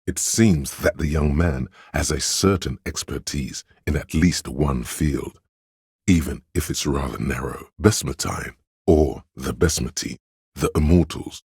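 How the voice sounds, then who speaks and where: Very deep, husky, pedantic encyclopedia voice. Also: male voice, a little mellow, no echo, exaggerated voice. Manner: erudite, measured, matter-of-fact lecturing with dry asides. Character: learned, pedantic inner voice of encyclopedic trivia in a detective's mind. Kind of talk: scripted video game narration of trivia.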